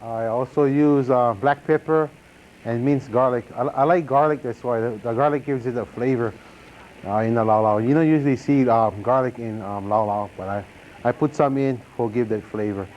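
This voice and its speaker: Man, student guest, slow and halting, dull recording, faint hiss. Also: mid-range voice, fairly even intonation.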